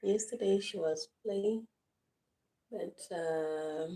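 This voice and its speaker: A woman from Zimbabwe hosting a live stream outdoors on a veranda, hoarse from just waking up, talking slowly with many halting fillers into a phone set on the floor. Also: mid-range voice, mellow. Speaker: livestream host. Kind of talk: casual live-stream chat.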